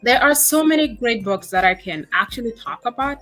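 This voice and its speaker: A woman from South Sudan, a guest author, answering interview questions in a hesitant, halting way with many fillers. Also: fairly high pitch, lively intonation, a bit bright, faint music behind. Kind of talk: casual interview.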